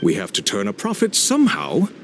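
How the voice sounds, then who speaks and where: Oily, wildly swooping front-desk clerk. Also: male voice, fairly low pitch, wildly swooping pitch, a little fast. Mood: flustered. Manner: unctuous, formal, theatrical. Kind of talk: animated game cutscene dialogue. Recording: music behind the voice.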